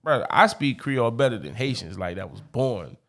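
A man in casual chat with friends, slangy and animated, laughing often. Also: medium pitch, moderate pace. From Florida.

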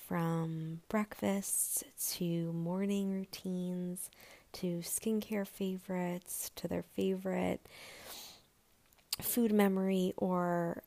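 A woman hosting her own podcast in a gentle, rambling chat, her voice a little raspy and hoarse, with plenty of fillers. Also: fairly low pitch, flat delivery, drawn-out words. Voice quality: raspy, breathy. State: slightly hoarse. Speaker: podcast host. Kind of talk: solo podcast intro, casual chat.

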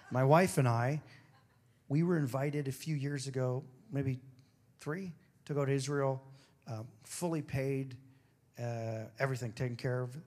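A man preaching a sermon with a throaty, hoarse voice, moving between emphatic declarations and casual, joking asides. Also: medium pitch. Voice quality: gravelly, throaty. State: hoarse after singing. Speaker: pastor.

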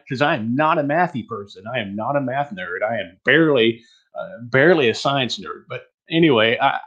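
A man's voice: on the high side, a bit bright. Man from texas, teacher webinar, rambling and jokey, dull muffled call audio. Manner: rambling, joking, self-deprecating. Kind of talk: online teaching presentation.

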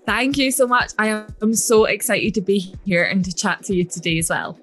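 A woman with a Scottish accent, a business and money coach chatting as a podcast guest, talking in a fluent, lively storytelling way. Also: medium pitch, normal speed, faint music behind. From Scotland. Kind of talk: conversational podcast interview.

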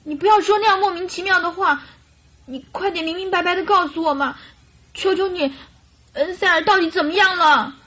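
A woman's voice: extremely high pitch, fairly quick, bright, forceful tone. A woman playing a naive, devoted young lady in distress in a radio drama, pleading and girlish. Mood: insistent, upset. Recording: radio drama with music behind.

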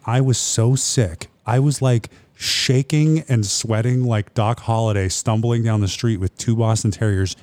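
A man in his thirties rambles through a profane, self-mocking story, his voice phlegmy as he gets over a cold. His tone is dark and mellow, and he acts out each exchange as he goes. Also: mid-range voice. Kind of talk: casual comedic chat.

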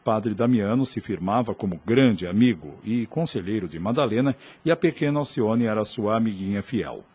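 A man's voice: fairly low pitch, expressive, moderate pace, a little mellow, husky. A man narrating a radio drama in scripted, formal storytelling.

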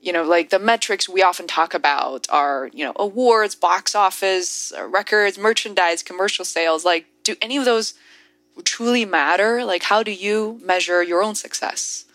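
A woman's voice: medium pitch, moderate pace, fairly bright tone, no room sound. A woman hosting a podcast who asks her guest questions hesitantly, with many fillers. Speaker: podcast host. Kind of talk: podcast interview.

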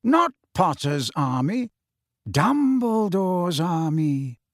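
Audiobook role: wise old male headmaster acted by a man, courteous, calm, gently ironic, widely varied intonation, dry close sound. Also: high-pitched, extremely slow, dry recording, voice pushed up, exaggerated voice. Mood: pleasant, amused. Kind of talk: audiobook character voice.

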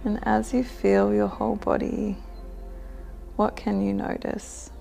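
A woman guides a meditation in a soft, mellow voice with heavy vocal fry. She speaks very slowly, giving gentle, soothing instructions. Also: medium pitch, level tone, faint music behind.

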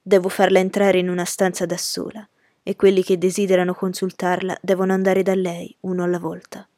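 A woman's voice: fairly low pitch, completely flat delivery, a little fast, mellow, dry recording, studio quality, close to an everyday voice. A woman reading the part of a deferential, uneasy male servant bringing messages in an audiobook story. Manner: deferential, hesitant.

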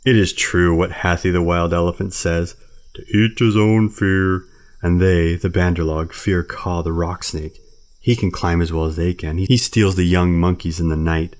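A man's voice: deep voice, flat delivery, normal speed, close to an everyday voice. A man voicing a clumsy old male bear who wails and laments over a lost cub. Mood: resolved. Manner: wailing, lamenting.

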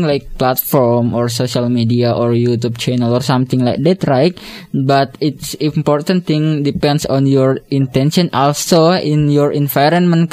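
A man's voice: a little high, darkish tone. Casual Indonesian man, lots of "like". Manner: casual, fillers like "like" and "right?". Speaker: guest. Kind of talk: casual discussion.

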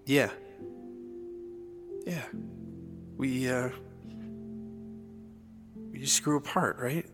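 A man's voice: medium pitch, very slow. Young American male, insecure, devoted college boyfriend, naturalistic drama delivery over music. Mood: sad, gentle. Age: young adult. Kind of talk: naturalistic audio drama acting.

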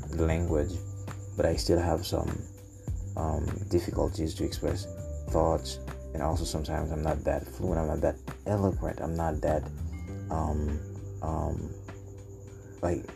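A man with a Haitian accent, a native Haitian Creole speaker, talking unscripted as a podcast host in a halting way, searching for words and repeating phrases. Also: extremely low pitch, vocal fry. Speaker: podcast host and language learner. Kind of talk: unscripted solo podcast talk.

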